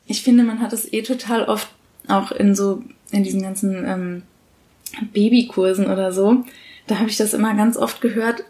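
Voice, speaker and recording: A woman's voice: medium pitch, fairly even intonation, normal speed, mellow. A woman in her thirties chatting casually and colloquially as a podcast co-host. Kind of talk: casual conversation about parenting.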